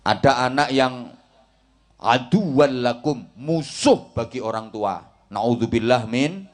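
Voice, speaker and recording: A man's voice: mid-range voice, lively intonation, slow pace. A middle-aged man with a Javanese accent, preaching a comic sermon to a village congregation and teasing the crowd. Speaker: Islamic preacher.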